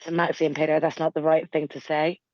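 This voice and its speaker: A woman co-hosting a wrestling podcast, slightly hoarse from a lost voice, chatting and telling a story. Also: deep voice, little pitch movement, quick pace, no room sound. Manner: chatty storyteller, laughs through her tale. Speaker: podcast co-host. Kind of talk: casual chat. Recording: dull lo-fi mic.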